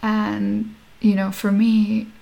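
A woman in her forties who grew up in Nigeria, chatting warmly as an interview guest, laughing often and restarting her sentences. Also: mid-range voice, flat delivery, takes it slowly, vocal fry, rough recording. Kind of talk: casual interview chat. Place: Berlin.

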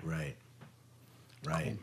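A man's voice: low voice, very animated, drawn-out words, vocal fry, a bit bright. A man co-hosting a podcast, interviewing a guest in a casual conversational style.

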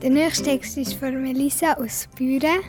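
A woman's voice: high voice, steady tone, a little slow. A girl aged ten to twelve presenting with scripted announcements. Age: child, 10 to 12. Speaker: young presenter introducing stories. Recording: loud guitar music behind the voice.

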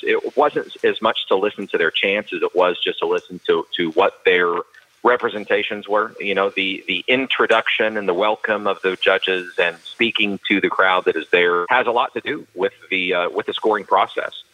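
Baritone man, earnest, muffled sound. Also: normal speed. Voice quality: baritone voice that carries. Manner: earnest, explains at length with frequent restarts. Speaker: professional auctioneer, guest. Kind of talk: interview answers. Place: studio.

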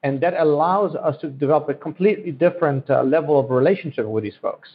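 A man's voice: fairly high pitch, fast. A man from Central Europe, a native Polish speaker, giving earnest, promotional answers in a podcast interview and often repeating his phrases. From Central Europe, born in Poland, raised in Germany. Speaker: economic development guest. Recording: dull, lo-fi audio.

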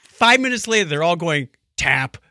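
Bright, very animated male podcast host. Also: a little high, moderate pace, no echo, clean studio sound. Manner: animated storytelling, acts out quotes. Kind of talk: casual podcast chat.

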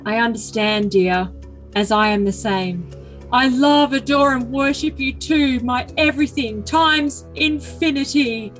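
A woman's voice: a little high, unhurried, faint music behind, close to an everyday voice. A woman voicing a doting, controlling, self-important boyfriend in an overly dramatic reading of messages. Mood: devoted.

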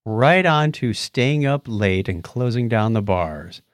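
A man's voice: medium pitch, lively intonation, takes it slowly, dry recording, studio quality. A middle-aged man co-hosting a podcast in casual banter, with a teasing, wisecracking manner. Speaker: podcast co-host.

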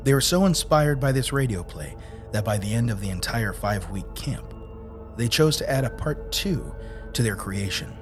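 A man's voice: medium pitch, animated, moderate pace, faint music behind. A man hosting a radio program, reading a scripted introduction.

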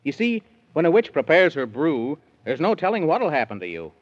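A man's voice: fairly high pitch, wildly swooping pitch, moderate pace. A man narrating a 1930s children's radio serial in sing-song rhyming verse. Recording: dull 1930s radio recording.

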